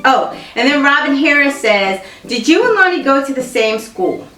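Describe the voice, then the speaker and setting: A woman's voice: high voice, sing-song, moderate pace, fairly bright tone, recorded from a distance. A woman in her fifties from Virginia, chatting with viewers in a casual couples Q&A and laughing often. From Virginia, USA. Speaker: vlogger. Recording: echoey room, off-mic, music behind.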